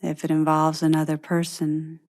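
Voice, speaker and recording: A woman leading a guided meditation in a gentle, unhurried manner, leaving long pauses between phrases. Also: low voice, completely flat delivery.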